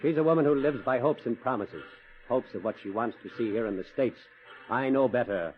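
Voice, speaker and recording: A man's voice: medium pitch, fairly quick, lightly acted. An actor playing a stern, middle-aged wealthy rancher father, blunt and commanding, in 1940s radio drama style. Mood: brisk, scornful. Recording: muffled, husky old-time radio recording.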